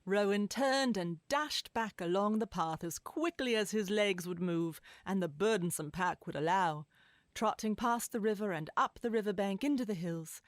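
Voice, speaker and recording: A woman's voice: medium pitch, no room sound, clean studio sound, close to an everyday voice. A woman narrating a scripted adventure story in an audio drama, in storybook style. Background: music.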